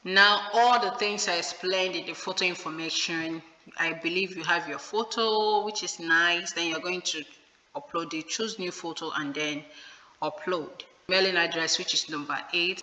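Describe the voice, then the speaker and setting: A woman talks viewers through an online form step by step, patient and instructive. She repeats the points that matter. Her voice is very bright, and a long reverb trails behind it. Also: on the low side. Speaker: video host explaining a visa form. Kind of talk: screen-recorded tutorial walkthrough. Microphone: voice-over on a screen recording. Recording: echoey room with long reverb.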